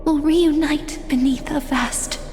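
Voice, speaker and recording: A gentle young guardian of time speaks softly and slowly in a high, wistful voice that often drops to a whisper. Long reverb and faint music trail behind her in this game cutscene. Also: fairly even intonation. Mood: tender.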